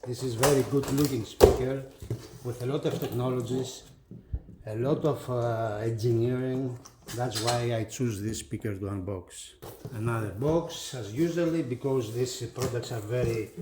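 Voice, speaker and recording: A man with non-native English gives a casual product demonstration at a slow pace. He breaks his talk into short, halting phrases, and some background noise can be heard around his mid-pitched voice. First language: not English. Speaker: audio product reviewer and unboxing presenter.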